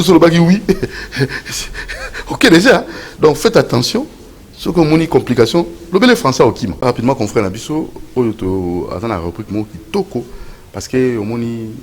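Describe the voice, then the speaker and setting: A man hosting a casual studio chat, talking over his guest and correcting him. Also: mid-range voice. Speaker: host.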